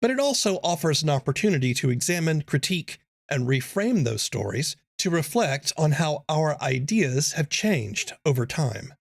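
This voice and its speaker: A man's voice: on the high side, big pitch swings, moderate pace, creaky, no room sound, studio quality. A man hosting a podcast, reading scripted narration. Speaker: podcast host. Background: instrumental guitar music.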